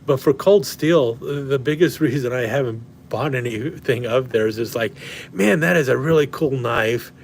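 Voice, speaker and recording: A man hosting a casual livestream and rambling with lots of fillers and restarts, his voice slightly hoarse from talking. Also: mid-range voice, normal speed, slight background noise. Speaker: knife collector livestream host. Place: at home indoors.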